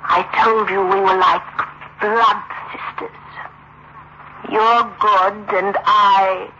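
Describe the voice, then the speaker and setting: Evil creepy woman, really slow and menacing, 60s radio horror, noisy old recording. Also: medium pitch, flat delivery, sharp tone, dull audio. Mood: sinister intimacy. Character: sinister evil female double, slow, possessive, controlling. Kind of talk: 1960s radio horror acting.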